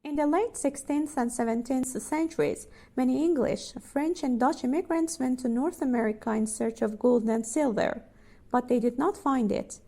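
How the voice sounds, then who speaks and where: A woman's voice: high voice, moderate pace, dark tone. A woman reading short educational passages aloud as scripted narration. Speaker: pronunciation practice narrator.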